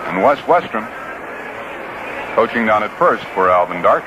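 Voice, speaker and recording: A man's voice: on the low side, sing-song, normal speed, muffled recording. An American man calling live baseball play-by-play on the radio in a folksy, conversational way, with short clipped phrases. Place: outdoor ballpark broadcast booth. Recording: noisy vintage 1960s radio broadcast.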